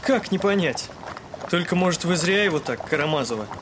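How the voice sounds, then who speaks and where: A man's voice: a little high, lively intonation, brisk, background noise, close to an everyday voice. An actor playing a polite, slyly teasing young man in an audio drama. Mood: deferential. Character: polite, sly, clever young man, poor relation.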